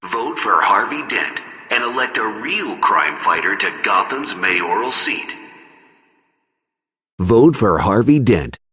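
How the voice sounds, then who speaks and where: An actor playing a grave, dramatic American campaign ad announcer in a video game, emphatic and persuasive, with reverberant sound. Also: male voice, lowish voice, very animated, takes it slowly, pushed and bright, exaggerated voice. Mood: rallying. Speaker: political ad announcer. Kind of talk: scripted campaign ad narration. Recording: long reverberant hall echo.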